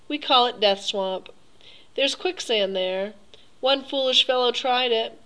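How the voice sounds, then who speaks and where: A silky aristocratic Cossack general, put on by a woman reading the tale, courteous and cruel at once. He stretches his words out with lingering politeness, his tone riding a little higher than the narration around him. It sounds like a storybook reading rather than a full performance. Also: fairly bright tone, rough recording. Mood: businesslike. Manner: silky, courteous, drawn-out phrasing. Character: suave, cruel aristocratic male Cossack hunter.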